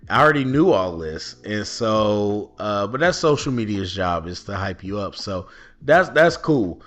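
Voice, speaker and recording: Male podcast host, lowish voice with a forceful edge, rambling and casual, a little worn after a cold, soft music under him. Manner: loose rambling, many ums and restarts. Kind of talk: casual solo podcast talk. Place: at home indoors.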